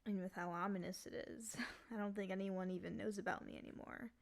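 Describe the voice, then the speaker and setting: Woman playing a soft, creaky, lonely demon girl. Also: mid-range voice, fairly even intonation, fairly quick, close to an everyday voice. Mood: longing. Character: lonely, clingy female demon sealed in a cage for centuries. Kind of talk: intimate ASMR roleplay monologue.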